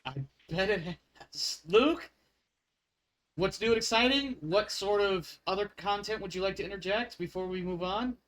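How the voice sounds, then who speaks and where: A man's voice: high-pitched, moderate pace, fairly bright tone. A man running a tabletop game, chatting casually and rambling off on tangents with frequent laughs. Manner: rambling, laughs often, self-mocking. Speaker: game master.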